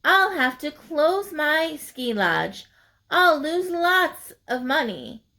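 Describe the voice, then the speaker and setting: A woman's voice: high voice, big pitch swings, slow pace, bright, forceful tone, voice pushed up, heavily acted. Woman voicing a sulky, grumpy storybook raccoon. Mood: worried, sulky. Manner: sulky, muttering. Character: grumpy, scheming male raccoon lodge owner.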